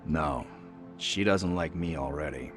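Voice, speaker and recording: An actor playing a scruffy, wisecracking street informant in a video game, talking in a loose, streetwise way. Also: male voice, a bass voice, a little slow, faint music behind. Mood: gruff concern.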